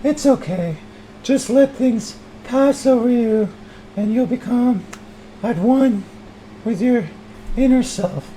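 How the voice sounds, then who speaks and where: A man acts out a serene young woman who teaches yoga in this improvised character sketch. The voice is very high, soft and gentle, with slow, deliberate, reassuring delivery. A clear room hum sits behind it. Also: rough recording. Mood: soothing, reassuring. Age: early thirties. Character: calm soothing young female yoga instructor, serenely mindful.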